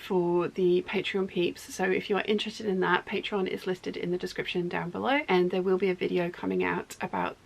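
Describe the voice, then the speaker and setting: A woman chatting casually in her sewing studio, rambling and correcting herself, her voice going hoarse. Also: on the low side, flat delivery, brisk, hardly any pauses. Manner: rambling, self-correcting, talkative. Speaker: sewing vlogger.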